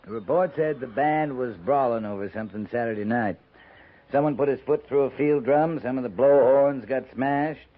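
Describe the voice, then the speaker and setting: An actor playing a dry, unhurried, folksy cavalry captain in a 1950s radio western. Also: male voice, mid-range voice, close to an everyday voice. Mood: deadpan, respectful. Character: laconic, deadpan cavalry captain of the frontier West. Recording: muffled 1950s radio recording.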